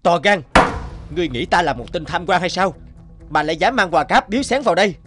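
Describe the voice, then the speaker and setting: A man's voice: sits high, lively intonation, quick pace, fairly bright tone, soft background music. A man playing an upright, kind young magistrate in a folk-tale cartoon, formal and righteous. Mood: indignant. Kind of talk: cartoon voice acting.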